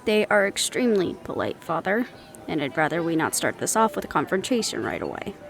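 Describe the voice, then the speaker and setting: Woman acting a creaky-voiced, sardonic young woman. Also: lowish voice, big pitch swings, fairly quick, faint music behind. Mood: tense, polite. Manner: dry, sarcastic, muttering asides. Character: sardonic, nervous young woman protective of her mate, bickering with father. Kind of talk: audio roleplay acting addressed to the listener.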